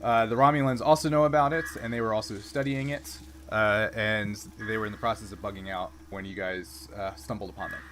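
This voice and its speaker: A man with a bright, forward voice casually explains a tabletop game as its game master. His manner is informal, and there is faint noise behind him. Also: lowish voice, fairly even intonation, a little fast.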